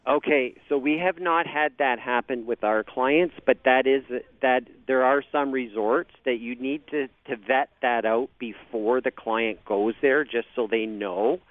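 A man with a Canadian accent, calling in as a travel agent guest. His speech is halting and full of fillers and restarts. It comes through a tinny, dry phone line. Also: medium pitch, no echo. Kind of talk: phone interview on radio.

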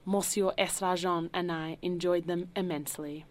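A woman voicing a dignified, grave butler who speaks formally and quietly. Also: on the low side, steady tone, close to an everyday voice. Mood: cool. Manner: formal, measured, softly spoken.

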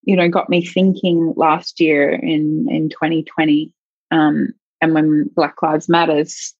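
A woman's voice: deep voice, flat delivery, takes it slowly, husky, no echo. A woman speaking as a sexologist guest in an interview, reflective and searching, thinking aloud in long winding sentences. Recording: dull lo-fi dry sound.

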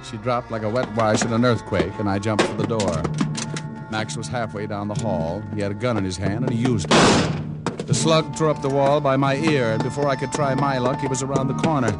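A man playing a tough, cynical, wisecracking private detective in 1940s radio noir style, with deadpan slang. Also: medium pitch, brisk, lightly acted. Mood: tense narrating. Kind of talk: 1940s radio acting, first-person noir narration. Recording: vintage radio recording with music behind.

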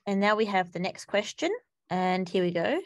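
A woman hosting a podcast, putting steady, plainly read questions to her guest. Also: medium pitch, normal speed, no room sound, clean studio sound. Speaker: podcast host. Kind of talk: interview questions.

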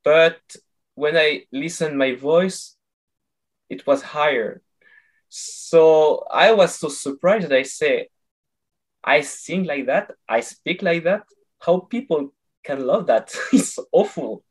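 Slow-paced man with non-native English accent. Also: on the high side, slightly rough. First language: not English. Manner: halting, explanatory, searching for words. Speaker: interview guest, voice coach. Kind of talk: conversational interview.

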